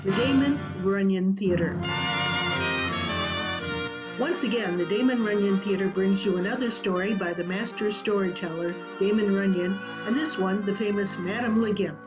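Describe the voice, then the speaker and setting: A woman's voice: medium pitch, moderate pace, over music. A woman announcer reading a scripted introduction to an old-time radio show. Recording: dull lo-fi video-call audio.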